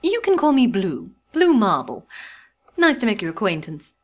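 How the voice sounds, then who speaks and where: A woman's voice: medium pitch, very animated, moderate pace. A woman playing a vain, wealthy lady pony with an affected, refined and theatrical manner in a cartoon drama. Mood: genteel, smug. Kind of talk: amateur cartoon audio drama acting.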